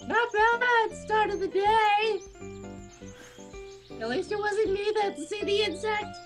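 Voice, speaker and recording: A man voicing a bewildered visual novel protagonist thinking aloud in a silly squeaky falsetto. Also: slow, a bit bright, exaggerated voice. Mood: bewildered musing. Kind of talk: silly amateur game dubbing. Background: game music.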